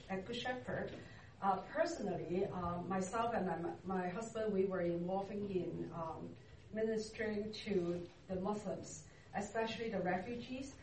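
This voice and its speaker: A woman's voice: mid-range voice, darkish tone. Distant, echoey woman. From Hong Kong. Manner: unhurried, halting, searching for words. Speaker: interview guest, church ministry worker. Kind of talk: casual interview. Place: church hall. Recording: echoey room, distant mic, noisy.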